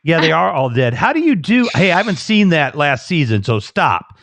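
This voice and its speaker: A man from Chicago hosting a casual live chat, animated and jokey. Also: on the high side, moderate pace, creaky, a crowd in the background. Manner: animated, jokey, puts on voices. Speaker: host.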